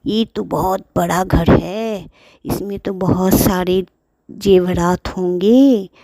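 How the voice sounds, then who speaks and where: A greedy burglar creeps through a storybook house in a low, drawn-out gloat, as a woman narrator puts him on. He savours every word, scheming and cackling under his breath. The sound is dry and close. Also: dark tone, no room sound.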